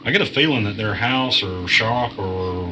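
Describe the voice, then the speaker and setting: Actor as low-voiced, nervous man in a mystery. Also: normal speed, natural voice. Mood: uneasy, reluctant. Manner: uneasy, descriptive first-person storytelling. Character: wary, nervous male audio editor narrating his ordeal. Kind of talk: audio drama narration and dialogue. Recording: clearly noisy background.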